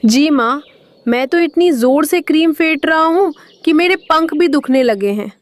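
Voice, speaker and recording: A woman's voice: sits high, animated, measured pace, no room sound. A woman voicing an eager little male chick helping his mother. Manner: eager, childlike.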